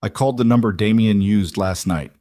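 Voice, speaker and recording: A man's voice: fairly low pitch, brisk, warm tone, dry recording, clean studio sound, natural voice. Man voicing a determined, anxious young woman. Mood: resolute, insistent.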